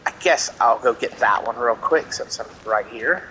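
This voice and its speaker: A man's voice: mid-range voice, moderate pace, sharp tone, a little hiss or hum, rough recording. A man giving casual, self-deprecating let's play commentary, chatting half to himself. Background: game music.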